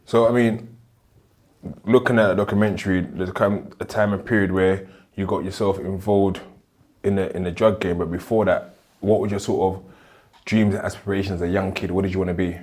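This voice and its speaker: A man's voice: deep voice, level tone, fairly quick, darkish tone. A man, hosting a podcast in a casual interview style. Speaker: podcast host.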